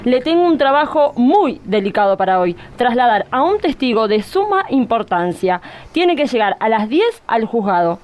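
An actress playing a stern, ambitious judge in a comic radio play, commanding and exasperated, with an Argentine accent. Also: female voice, on the high side, sing-song, moderate pace, darkish tone.

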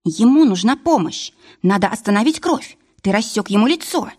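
A woman's voice: high voice, sing-song, a little slow, a little mellow, breathy edge, voice pushed up, exaggerated voice. A woman voicing an anxious, hot-tempered young woman in an audiobook story, exclaiming and pleading in an agitated way. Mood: angry, urgent.

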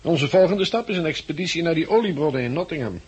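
An actor playing a friendly helicopter pilot recruiting survivors, with measured, courteous explanations in 1960s Dutch radio drama style. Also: male voice, fairly high pitch, lively intonation, a little mellow, breathy edge, muffled recording. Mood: informative, confident.

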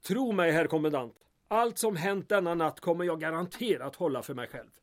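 A man playing a small-town mayor in a serial drama. He is shrewd and probing, with a fairly high voice and a streak of dry irony. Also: close to an everyday voice. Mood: dry, sardonic. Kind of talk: audio drama acting.

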